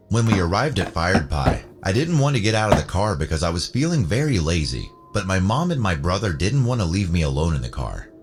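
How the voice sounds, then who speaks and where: A man narrating a scripted first-person story. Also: low voice. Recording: music bed under the voice. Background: background music.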